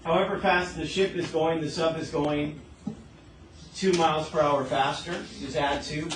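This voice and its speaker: A man's voice: fairly high pitch, steady tone, unhurried, background noise. A man teaching a class as a math teacher, explaining step by step and often asking questions to check understanding. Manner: asks frequent checking questions.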